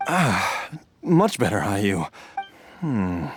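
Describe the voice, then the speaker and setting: Slow, weary ailing father. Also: fairly low pitch, big pitch swings, slight background noise, full character voice. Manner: slow, hesitant, sighing. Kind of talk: video game voice acting.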